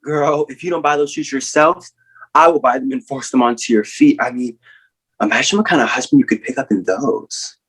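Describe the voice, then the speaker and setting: A man's voice: medium pitch, a little fast, a bit bright, husky, rough recording. A man playing a flamboyant, sassy, bossy best friend in a comic stage reading. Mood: sassy, flirty.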